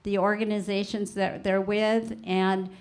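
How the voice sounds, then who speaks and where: A woman's voice: medium pitch, flat delivery, measured pace. An older woman hosting a live public radio roundtable in front of an audience, chatty and self-deprecating. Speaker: radio show host. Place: community arts center before a live audience.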